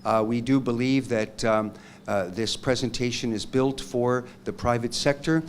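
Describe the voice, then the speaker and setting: A man's voice: medium pitch, steady tone, unhurried, a little hiss or hum. A man chairing a workshop session, making announcements hesitantly, with many ums and uhs. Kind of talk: formal meeting announcements.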